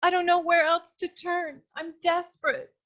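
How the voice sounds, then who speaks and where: A woman's voice: very high voice, flat delivery, moderate pace. A woman acting a melodramatic young damsel client in a comic noir radio serial, earnest and sweet, on a slightly lo-fi recording. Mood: desperate. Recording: slightly dull, lo-fi microphone.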